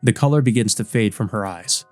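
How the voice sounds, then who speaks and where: A man's voice: lowish voice, brisk, darkish tone, no echo, soft background music. A man reading a visual novel aloud as dramatic scripted narration. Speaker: let's play narrator.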